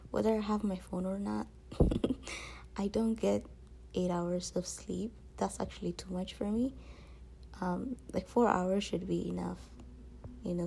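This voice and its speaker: Woman, casual vlog chat, mellow tone, gravelly fry, rambling, slangy. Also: mid-range voice, slight background noise, poor audio. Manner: rambling, peppered with slang and filler phrases. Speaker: vlogger.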